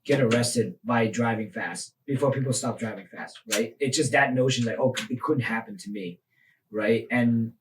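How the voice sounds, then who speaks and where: A man from Thailand who grew up in New York, hosting a podcast interview in a relaxed, chatty way with frequent affirming murmurs. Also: medium pitch, normal speed, noisy background. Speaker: podcast host, physical therapist.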